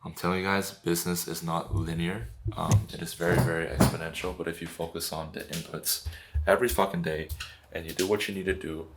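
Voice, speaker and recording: Low-voiced young man vlogging, laid-back. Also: little pitch movement, brisk, background noise. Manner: laid-back, swears casually, calls everyone bro. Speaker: vlogger and business owner. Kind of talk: casual vlog chat.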